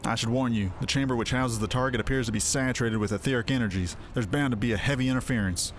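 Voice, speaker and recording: Brisk, wry military man played by an actor. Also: mid-range voice, soft background music, natural voice. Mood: urgent, concerned. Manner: urgent, wry, informal. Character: brisk, gruff but caring male military ally over comms. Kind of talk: video game voice acting.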